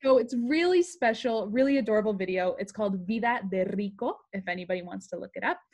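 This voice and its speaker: A woman's voice: medium pitch, expressive, quick pace, darkish tone. A young woman co-hosting a podcast, chatting casually and animatedly over a video call. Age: young adult. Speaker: podcast co-host.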